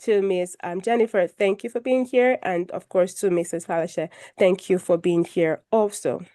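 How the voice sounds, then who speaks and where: A woman moderating an online health discussion over a video call, getting over a cold and a little croaky, making formal introductions with light self-deprecating humor. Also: lowish voice, moderate pace, mellow. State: recovering from a cold, a bit croaky. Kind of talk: hosting a video call panel, presenting slides.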